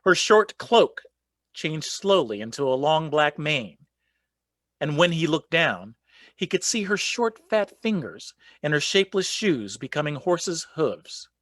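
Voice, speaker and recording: A man's voice: fairly high pitch, very animated, measured pace, fairly bright tone, no echo, studio quality. A man narrating a folk legend as the storyteller in a scripted radio play. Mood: storytelling.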